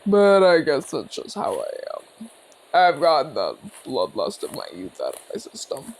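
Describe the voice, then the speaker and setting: A man performs a friendly old-soldier orc, a former general with a creaky, gravelly edge. His pitch roams widely as he chats in a measured, courteous, inquisitive way. It is intimate ASMR roleplay, addressed straight to the listener. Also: slight background noise, heavily acted, low-quality recording. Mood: earnest, calm. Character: genial retired orc general, male border commander, calm, inquisitive.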